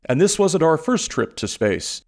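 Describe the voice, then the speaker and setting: Man as animated 1960s radio pitchman. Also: a little slow. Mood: proud, promotional. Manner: polished, promotional. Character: polished male 1960s sponsor announcer, proud. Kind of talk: 1960s radio commercial read.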